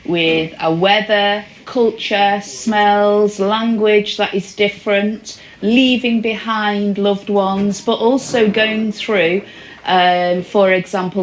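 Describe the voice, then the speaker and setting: A woman giving considered answers in an interview, halting as she searches for words. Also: mid-range voice, poor audio.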